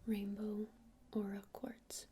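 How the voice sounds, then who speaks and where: A woman's voice: medium pitch, monotone, warm tone, a little hiss or hum, lightly acted. Woman playing a whispering, soothing witch shopkeeper. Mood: soothing, unhurried. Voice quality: breathy whisper. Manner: unhurried, hesitant, soothing explaining. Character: gentle witch shopkeeper showing crystals to a customer. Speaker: ASMR roleplay performer. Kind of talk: whispered ASMR roleplay.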